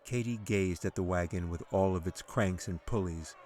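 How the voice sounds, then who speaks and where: A man narrating a fantasy story as an author reading his own audiobook, with dramatic, measured storytelling. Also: low voice, a little mellow, slight background noise.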